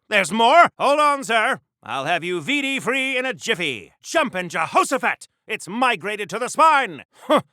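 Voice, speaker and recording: An actor playing an earnest, socially awkward old-time superhero in a video game, chirpy and rambling, with quaint old-fashioned exclamations. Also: male voice, sits high, sharp tone, no echo, studio quality, heavily acted. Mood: alarmed, earnest. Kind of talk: mid-century hero voice acting for a video game.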